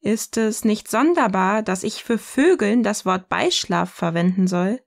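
A woman acting a self-assured, provocative partner in scripted audio-novel dialogue, speaking in a poised, deliberate way. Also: mid-range voice, lively intonation, creaky.

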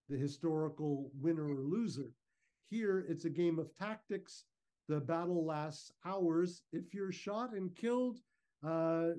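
A man giving an informal, measured, explanatory slide talk over a video call. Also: a little high, warm tone. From Canada. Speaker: guest speaker, historical reenactor. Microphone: video call microphone.

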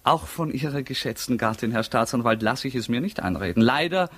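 A man's voice: medium pitch, animated, brisk, natural voice. An actor in a 1950s German radio drama playing a prisoner who denies his identity, ironic, insistent and politely provocative. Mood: defiant, indignant. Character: defiant prisoner, a man denying his identity.

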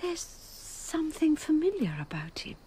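An actress playing an anguished outcast mother in a radio drama, loving yet resolute, with hushed urgency that breaks into anguish. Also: high-pitched, very animated, unhurried, whispered. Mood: hushed, urgent. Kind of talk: emotional radio drama acting.

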